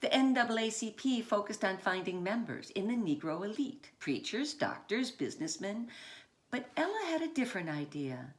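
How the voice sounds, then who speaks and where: A woman author reading her children's picture book aloud in deliberate short phrases, with dramatic emphasis on key words. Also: medium pitch, big pitch swings, a little hiss or hum.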